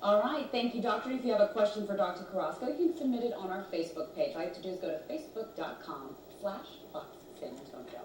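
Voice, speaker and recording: A woman's voice: medium pitch, lively intonation, fast talker, few pauses, background noise. A woman with an American accent reading scripted morning television news as an anchor. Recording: distant, echoey studio feed in control room.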